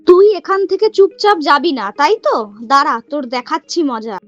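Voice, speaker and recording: Lady doing fretful firm village mom for a cartoon, high pitched, lo-fi with music. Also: big pitch swings, normal speed. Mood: scolding. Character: protective, firm village mother of two daughters. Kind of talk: cartoon voice acting.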